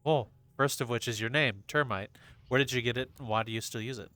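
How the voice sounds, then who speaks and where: A man's voice: medium pitch, fairly quick, sharp tone, no room sound. A man hosting a casual podcast, asking questions with enthusiastic, stumbling phrasing. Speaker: podcast host. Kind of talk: casual interview chat. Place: home, VR session.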